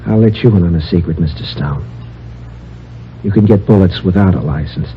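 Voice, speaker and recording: An actor playing a nervous, desperate office worker near breaking point, hesitant and agitated, in old-time radio drama. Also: male voice, low voice, brisk, highs cut off, close to an everyday voice. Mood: bitter, desperate. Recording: noisy old radio recording.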